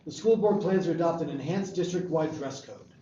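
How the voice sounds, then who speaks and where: A man acting a brisk, dismissive school principal in a screenplay table read, businesslike and official. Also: on the high side, mellow, lightly acted. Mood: official, brusque. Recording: far from mic, echoey, dull room.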